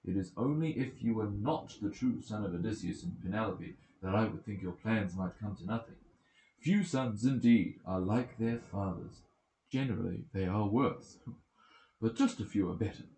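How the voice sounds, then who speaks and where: A man's voice: fairly low pitch, normal speed, mellow, lightly acted. A man voicing a goddess disguised as a wise old male mentor in an epic audiobook, encouraging and emphatic. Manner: emphatic, commanding, reassuring. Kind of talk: dramatic audiobook character reading. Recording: roomy echoing home recording.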